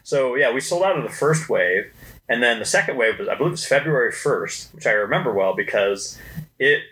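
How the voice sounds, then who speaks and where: Animated, bright-voiced man chatting on a podcast. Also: medium pitch, brisk, poor audio. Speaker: podcast co-host recalling company history. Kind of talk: casual podcast chat.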